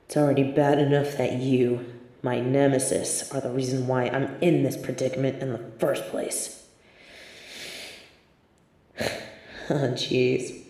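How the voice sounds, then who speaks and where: Woman acting slow, mellow, scheming female supervillain. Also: rumbling low, level tone, slightly rough, full character voice. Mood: bitter, muttering. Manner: theatrical, measured, wry, drops into muttered asides. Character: scheming, sardonic female supervillain, grudgingly sympathetic to a captive. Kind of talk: intimate audio roleplay monologue, scripted.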